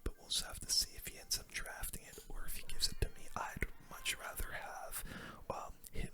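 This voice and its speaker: A person whispering casual, slangy ASMR game commentary in a breathy, unvoiced voice close to the microphone. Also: a little fast. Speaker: gaming video host.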